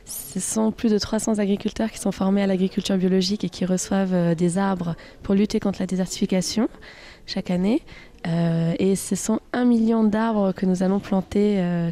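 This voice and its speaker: A woman's voice: medium pitch, creaky, mellow. A woman in her twenties from France, a radio interview guest answering with frequent hesitations. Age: 20s. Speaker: guest, association president.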